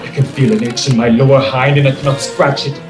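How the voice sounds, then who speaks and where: A man's voice: mid-range voice, steady tone, fairly quick, close to an everyday voice. A man voicing a proud, scornful lion in a storybook radio play, speaking pompously. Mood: impatient, bossy. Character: proud, haughty male lion, big and contemptuous. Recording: echoey room with music behind.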